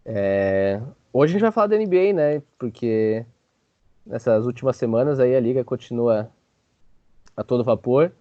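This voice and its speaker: A man's voice: medium pitch, animated, normal speed, no echo, dull audio. A man hosting a sports show with casual, joking basketball commentary full of informal filler words.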